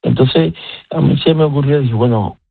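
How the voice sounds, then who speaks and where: A man's voice: medium pitch, moderate pace, gravelly, slightly rough, dry recording. Cuban-accented man on a phone line. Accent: Cuban Spanish. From Cuba. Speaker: chef and guest. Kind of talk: phone-in radio interview. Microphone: telephone.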